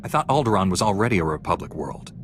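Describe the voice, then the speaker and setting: An actor playing a confident young Jedi Knight in a video game, plain-spoken and wry. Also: male voice, low-pitched, very animated, quick pace, slight background noise. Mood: questioning.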